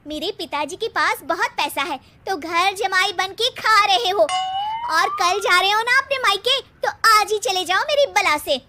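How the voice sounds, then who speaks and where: A woman voicing a sharp-tongued, exasperated young cartoon wife who nags and scolds. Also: very high-pitched, sing-song, measured pace, background noise, pitched above the performer's own voice, full character voice. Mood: scolding. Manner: nagging, scolding.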